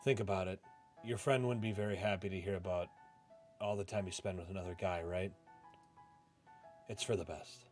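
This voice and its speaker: Man reading as a subdued, low-voiced brooding office worker. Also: fairly even intonation, moderate pace, long pauses, a little mellow, close to an everyday voice. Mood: withdrawn, quiet. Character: reserved, brooding young office worker narrating his story. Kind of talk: storybook-like visual novel narration. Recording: music playing behind the voice.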